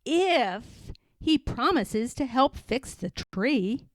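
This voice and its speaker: A woman voicing a brisk, busy hospital nurse in a storybook. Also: on the high side, animated, no echo, clean studio sound. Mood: relenting.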